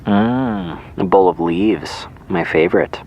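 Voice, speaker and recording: Guy doing a teasing buddy on the phone, gravelly, lively, muffled with faint hiss. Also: low voice, slow, heavily acted. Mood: dry. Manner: teasing and dry. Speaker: Warren's friend on the phone. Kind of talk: web series drama acting.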